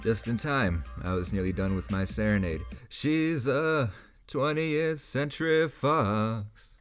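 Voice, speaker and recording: A man's voice: medium pitch, expressive, slow. An actor playing a laid-back, playful male secret agent with a breezy, teasing manner in an audio drama. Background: music.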